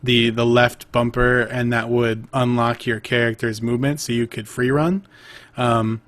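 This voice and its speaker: A man's voice: mid-range voice, level tone, a little slow, a bit bright. Rambling man on a remote call. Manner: rambling, many fillers and restarts. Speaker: podcast co-host. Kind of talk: casual gaming chat.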